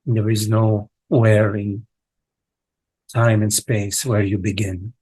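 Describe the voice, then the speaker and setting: Very slow, husky man guiding meditation. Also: fairly low pitch, little pitch movement, darkish tone, no echo, low-quality recording. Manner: halting, short phrases with long pauses. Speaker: meditation teacher leading a live online session. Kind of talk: guided meditation, slow contemplative speech.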